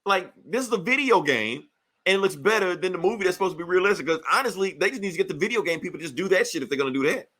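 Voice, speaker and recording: A man hosting a casual pop-culture podcast, chatting in a profane, joking, animated way. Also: high-pitched, rapid-fire. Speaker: podcast host.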